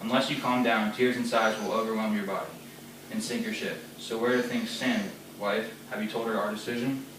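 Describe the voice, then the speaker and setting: A man's voice: lowish voice, fairly even intonation, fairly quick, a bit bright, a little hiss or hum, lightly acted. A man playing a raging domineering father. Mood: mocking. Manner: scolding, ranting. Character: furious older nobleman father, domineering. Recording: echoey room from far off, faint ambience.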